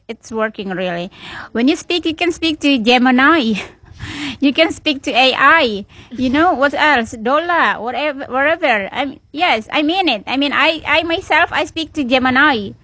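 A woman's voice: high-pitched, fairly bright tone, dry recording. A woman radio host, chatting casually and encouragingly with a guest, often restarting her sentences. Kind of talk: casual on-air chat with a guest.

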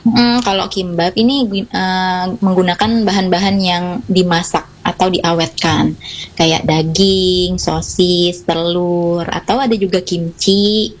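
A woman's voice: lowish voice, slow pace, low-quality recording. A woman who runs a small food business, a guest on a casual radio talk, explaining things plainly with fillers. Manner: relaxed, explains plainly with fillers and tag words.

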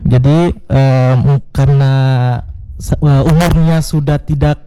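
A man's voice: on the high side, steady tone, takes it slowly, dark tone. A man from Central Sulawesi, Indonesia, a pageant founder interviewed in a radio studio, polite and hesitant.

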